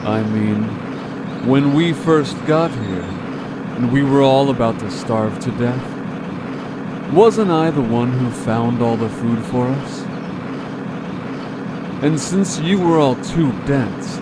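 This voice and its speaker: A man's voice: medium pitch, animated, very noisy. A man playing a sarcastic, self-righteous creature gang leader in an audio drama, speaking with condescending false humility. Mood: mock humility. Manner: measured, feigning hurt, condescending.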